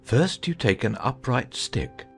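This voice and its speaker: A man playing an eccentric, earnest, bumbling old knight in a dramatized audiobook, with a halting, fussy delivery. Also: mid-range voice, animated, gravelly, husky, faint music behind, full character voice. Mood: earnest, proud. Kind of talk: dramatized audiobook character acting.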